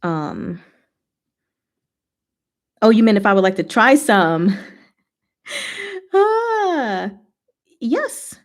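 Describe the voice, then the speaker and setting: A woman hosting a casual, rambling livestream chat with viewers, talking in an expressive, playful way. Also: medium pitch, extremely slow, long pauses. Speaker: livestream host answering chat.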